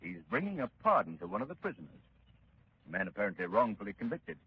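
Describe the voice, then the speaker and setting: An actor playing a suave, composed gentleman sleuth with polished, grave delivery in a 1930s radio drama. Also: fairly low pitch, sing-song, fast, breathy edge, heavily acted. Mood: courteous. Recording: dull, noisy 1930s radio recording.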